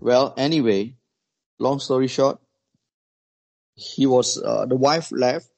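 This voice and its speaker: A man's voice: mid-range voice, creaky, a little mellow. A man, telling a story in casual group conversation, with many restarts and "you know" fillers. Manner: halting, restarts phrases, uses "you know" and "lah".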